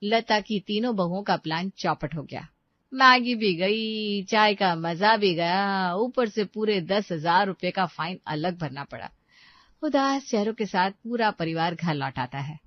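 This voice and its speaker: A woman narrating a moral story in a smooth, scripted storytelling way. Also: mid-range voice, a little slow, dry recording. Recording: dull dry voice-over.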